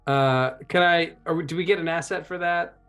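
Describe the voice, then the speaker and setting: A man playing a tabletop game, describing his character's actions casually and deliberately, pausing to think aloud. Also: a little high, fairly bright tone, clear, resonant tone, soft background music.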